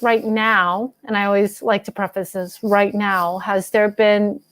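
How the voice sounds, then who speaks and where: Female interview host, middle pitch, slow-paced informal questioning, dry sound. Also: flat delivery, a bit bright, no room sound. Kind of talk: casual, conversational interviewing.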